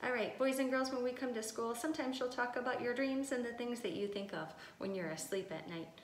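A woman with a slightly high voice speaks as a preschool teacher doing a read-aloud. Her phrasing is simple and expressive, aimed at small children. The room is very echoey, with some faint noise. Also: fairly quick. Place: indoor room with tiled wall. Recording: echoey room with noticeable hiss.

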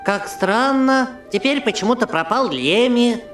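A bookish young cartoon monkey boy, played by an actor, earnest and slightly uneasy as he explains things. The voice rings with echo, and music plays clearly behind it. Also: mid-range voice, animated, measured pace, close to an everyday voice. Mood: puzzled. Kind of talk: children's cartoon dubbing. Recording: echoey, loud music behind.

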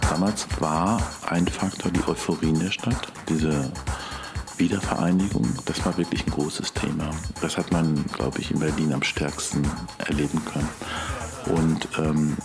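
A man giving reflective interview talk in measured phrases with pauses between them. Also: extremely low pitch, fairly even intonation, vocal fry. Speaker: interviewee, techno scene witness. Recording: electronic music bed behind voice. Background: electronic music.

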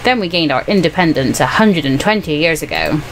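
A woman's voice: sits low, expressive, fairly quick, a little hiss or hum, pitched below the performer's own voice, poor audio. A woman voicing a bitter working-class man in a visual novel, grumbling with cynical emphasis. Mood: bitter, weary.

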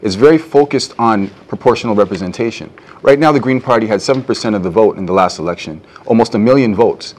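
A man's voice: fairly low pitch, fast talker, a little mellow. Young man, earnest debate candidate. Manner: earnest, some stammering restarts. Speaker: political candidate. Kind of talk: debate answer. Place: television studio.

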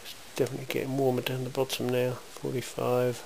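A man giving an informal, matter-of-fact hands-on demonstration in a workshop, speaking in a measured way. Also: medium pitch, completely flat delivery, creaky, a little mellow. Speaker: welding demonstrator. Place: indoor cluttered workshop. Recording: hissy, noisy amateur camera sound.

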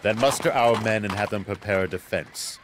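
An actor playing a proud, defiant general in a video game, grave and commanding. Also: male voice, fairly low pitch, normal speed, noisy background, natural voice. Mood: grim command. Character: stern Greek male general, proud and defiant. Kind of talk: dramatic video game cutscene acting. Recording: faint outdoor ambience behind.

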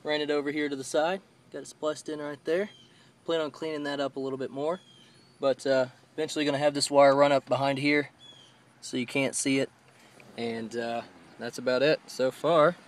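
A man's voice: medium pitch, little pitch movement, moderate pace. A man hosting a casual hands-on tutorial, explaining each step informally as he goes. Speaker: host of a DIY vehicle tutorial. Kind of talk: casual hands-on how-to narration. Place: in and around a parked car outdoors in daylight.

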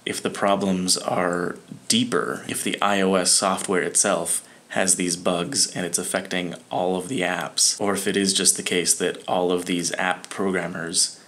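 A young man in his twenties talking casually into a phone camera in a dark room at night, grumbling in a low-key way. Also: level tone, measured pace, few pauses. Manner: complaining, low-key late-night talk. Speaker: vlogger. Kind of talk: casual daily vlog. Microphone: phone camera held close.

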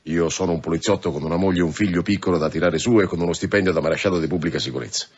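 A man's voice: sits low, rapid-fire, a little mellow, breathy edge, lo-fi sound. An actor playing a wary, blunt police sergeant and anxious family man in a radio drama. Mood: anxious, protesting. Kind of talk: naturalistic radio drama acting.

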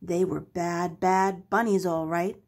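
A woman narrating a children's story aloud with expressive, dramatic delivery. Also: fairly low pitch, slow. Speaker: storybook reader.

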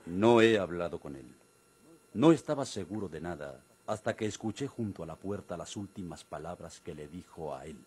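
An actor playing a grave, authoritative Mexican priest in a radio drama, speaking in a measured, formal way. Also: male voice, deep voice, animated, darkish tone, breathy edge. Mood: stern, accusing. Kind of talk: golden-age radio drama acting.

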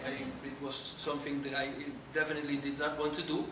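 A man's voice: mid-range voice, little pitch movement, brisk. A man in his forties from Mexico with a Mexican accent, giving an earnest talk to students in a lecture room. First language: Spanish. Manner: earnest, gesturing while speaking. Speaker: guest speaker. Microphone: far camera. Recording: echoey room from far off, noisy.

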